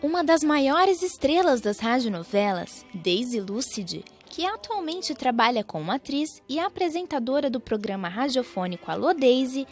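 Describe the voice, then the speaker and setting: High-pitched lively young Brazilian woman narrator. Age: young adult. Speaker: documentary narrator. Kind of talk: scripted documentary narration. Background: music.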